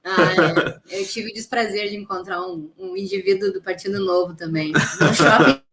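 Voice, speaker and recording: Mulher de voz rascante e animada. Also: female voice, medium pitch, very animated, normal speed, vocal fry, a crowd in the background. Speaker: interview participant. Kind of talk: casual chat.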